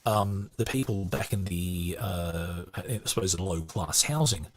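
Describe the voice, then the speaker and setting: Low-voiced man reading and commenting. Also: unhurried. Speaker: podcast host reading a book aloud with commentary. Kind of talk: audiobook narration and casual commentary.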